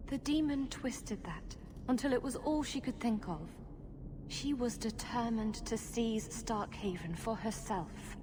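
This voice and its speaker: An actress playing a proud, contrite noblewoman in a video game, formal and measured, set on making amends. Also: fairly high pitch, noisy background, lightly acted. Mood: regretful. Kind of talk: video game cutscene acting.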